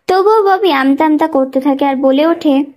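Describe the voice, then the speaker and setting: Female story narrator, high voice, scripted dramatic storytelling. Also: normal speed, darkish tone.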